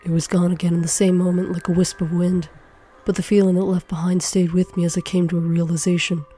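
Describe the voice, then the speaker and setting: Mellow, even-toned woman narrating fiction. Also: fairly low pitch, fast talker, soft background music. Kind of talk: dramatic first-person story narration. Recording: faint background noise.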